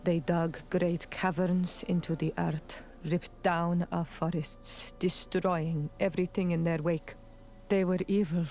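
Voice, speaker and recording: A woman's voice: on the low side, flat delivery, slow, darkish tone, soft background music. An actress playing a proud, loyal young warrior woman of a tribe in a video game cutscene, with solemn, formal and intense phrasing. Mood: solemn storytelling.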